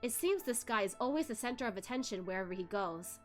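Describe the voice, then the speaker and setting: A woman's voice: a little high, expressive, fast talker, soft background music. A young adult woman hosting a read-along, narrating a novel. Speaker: book club host reading aloud.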